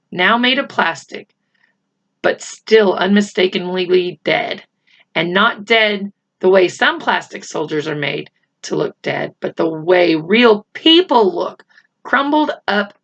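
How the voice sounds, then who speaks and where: Slow-paced woman reading a storybook. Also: mid-range voice, expressive, a bit bright, lo-fi sound. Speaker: storybook reader. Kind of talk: scripted children's book narration.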